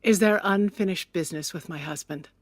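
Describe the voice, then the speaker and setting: An actress playing a wealthy, haughty 1920s widow with an arch, sardonic manner in an audio drama. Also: female voice, lowish voice, a little fast, no room sound, close to an everyday voice. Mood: haughty, suspicious. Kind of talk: period audio drama acting.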